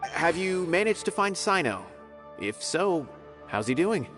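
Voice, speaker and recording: A man's voice: high-pitched, very animated, measured pace, a bit bright, faint music behind, full character voice. A man voicing a polite, earnest scholar who guides the player and explains things courteously in a game cutscene.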